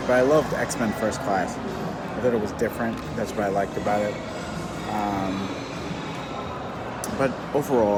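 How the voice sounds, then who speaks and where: A man's voice: fairly low pitch, very noisy. A man giving a blunt, unimpressed movie review in a casual way, talking off the cuff while out walking.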